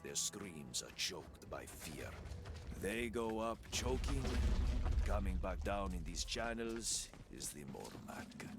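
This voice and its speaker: Gruff, scheming dungeon guide for a game; low, highly varied delivery, ominous. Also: male voice. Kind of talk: video game character acting.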